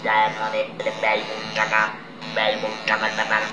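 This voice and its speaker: A man with a Bangladeshi accent speaks through an electrolarynx, so his voice is a robotic buzz on an extremely flat, slightly low note. He answers conversationally in an echoey room. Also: fast talker, over music. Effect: electrolarynx held to the throat. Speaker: patient being interviewed.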